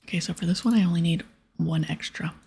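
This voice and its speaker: Asmr gamer lady whispering super breathy w some creaky fry, soft n hushed, casual rambly gameplay talk. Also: on the low side, fast talker. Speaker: ASMR gaming video creator. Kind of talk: ASMR whispered gameplay commentary with quest dialogue read aloud.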